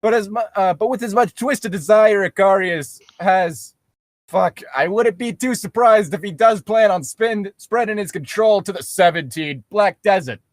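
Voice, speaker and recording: A man's voice: sits high, normal speed, no echo. A man reading fan fiction aloud as a co-host, casually mocking it with profane asides.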